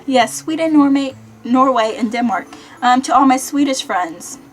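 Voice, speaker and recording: A young American woman chatting casually to viewers in an animated, self-deprecating way, like a vlogger. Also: high voice, moderate pace. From Kentucky, United States. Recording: music behind the voice.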